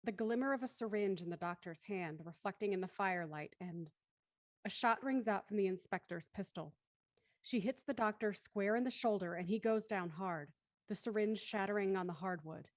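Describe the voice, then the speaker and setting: A woman's voice: medium pitch, moderate pace, no echo, clean studio sound, close to an everyday voice. A woman narrating an audio thriller in scripted present-tense prose.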